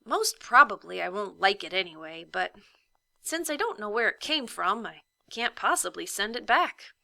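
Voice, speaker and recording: A woman's voice: medium pitch, big pitch swings, moderate pace, gravelly, bright, forceful tone, no room sound, clean studio sound, exaggerated voice. A woman voicing a bored, skeptical young boy in a storybook, musing half to himself. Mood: dismissive.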